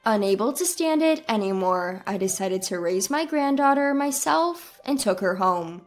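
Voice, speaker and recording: A woman's voice: mid-range voice, animated, normal speed. A woman reading a scripted first-person story as its narrator. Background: background music.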